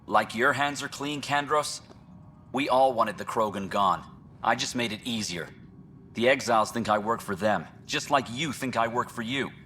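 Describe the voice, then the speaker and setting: An actor playing a self-serving, sneering colonial official in a video game, defensive and indignant. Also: male voice, mid-range voice, moderate pace, a little hiss or hum, natural voice. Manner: sharp, indignant.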